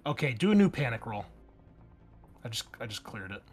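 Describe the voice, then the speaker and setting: A man's voice: mid-range voice, very animated, fairly quick, soft background music. A man acting as game master of a tabletop roleplaying game, narrating scenes and explaining the rules conversationally.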